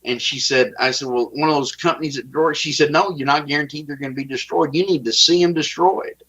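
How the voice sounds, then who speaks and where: A man with a Texas accent, giving halting interview testimony and often repeating words as he starts a sentence. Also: fairly high pitch, fairly even intonation, fairly bright tone, husky. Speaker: guest. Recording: dull lo-fi audio.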